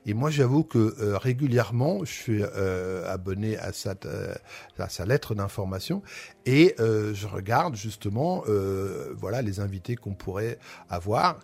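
Gravelly-voiced friendly male host. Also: fairly low pitch, animated, unhurried, darkish tone. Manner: friendly, chatty, hesitant with many fillers. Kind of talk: radio interview chat.

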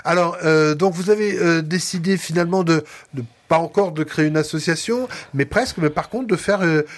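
A man's voice: fairly high pitch, brisk. A man hosting a casual radio talk show from a studio table, speaking with many fillers and restarts. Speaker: radio host.

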